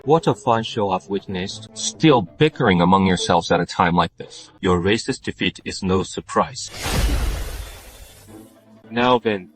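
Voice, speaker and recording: A man's voice: low-pitched, measured pace. A man voicing a cocky, taunting ancient warrior reborn, in an anime dub. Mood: amused, scornful. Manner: taunting, self-assured. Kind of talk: English dub of anime motion comic. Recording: loud background music.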